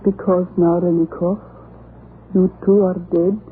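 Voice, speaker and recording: The ghost of a murdered woman in a vintage radio play, acted by a woman. The voice is eerie and measured, behind clear noise and a thin, phone-like sound. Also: fairly low pitch, little pitch movement, close to an everyday voice. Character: ghostly dead woman calling her killer. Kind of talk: 1940s radio horror acting. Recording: noisy, tinny old radio recording.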